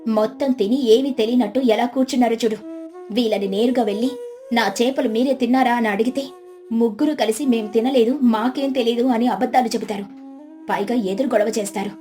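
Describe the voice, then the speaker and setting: A woman's voice: fairly high pitch, brisk, dark tone, faint music behind, lightly acted. A woman storyteller voicing a clever, theatrical village housewife with an exclamatory, dramatic manner. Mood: sly, scheming.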